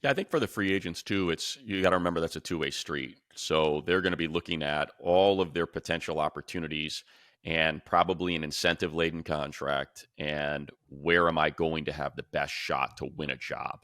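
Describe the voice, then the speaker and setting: Deep, bright-voiced American sports announcer guy. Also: steady tone, normal speed, dry recording, studio quality. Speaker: sports talk show host and play-by-play announcer. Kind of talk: casual sports discussion.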